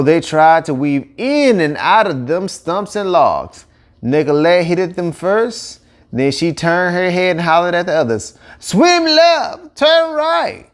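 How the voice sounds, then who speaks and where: Animated Cajun man telling a folksy story. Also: fairly high pitch. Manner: colloquial, animated dialect delivery. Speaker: audiobook narrator.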